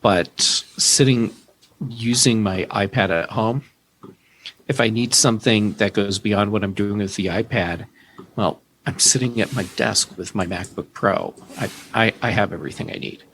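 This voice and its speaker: Low-voiced man chatting about tech. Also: a little slow, a bit bright, slight background noise. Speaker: podcast guest. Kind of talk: casual tech chat.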